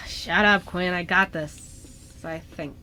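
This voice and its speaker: A woman playing a playful, forgetful young male ghost in an amateur radio drama, talking casually and haltingly. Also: on the low side, fairly even intonation, heavy background noise. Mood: exasperated.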